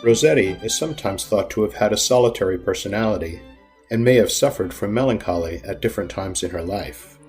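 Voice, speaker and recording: A man narrates a scripted literary programme in a fairly low voice. Faint string music plays behind his words. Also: brisk, a little mellow. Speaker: narrator, presenter.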